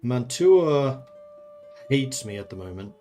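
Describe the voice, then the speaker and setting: A man from the Netherlands streams a strategy game, musing aloud over his moves in a mid-pitched, easygoing voice. He chats with viewers between decisions and laughs freely. Faint music plays underneath. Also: big pitch swings, slow, dark tone. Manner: thinking aloud, chatty, laughs often. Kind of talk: casual gameplay commentary.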